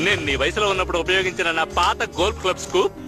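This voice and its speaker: A man playing an excitable, boastful middle-aged dad in a cartoon dub, exclaiming and showing off. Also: high voice, racing, natural voice. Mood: boastful, eager. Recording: loud music behind the voice.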